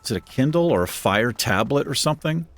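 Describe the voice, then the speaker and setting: A man with a deep voice hosting a gaming channel, chatting casually and self-deprecatingly while he plays. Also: animated, a little fast. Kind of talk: casual gameplay commentary. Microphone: desk microphone.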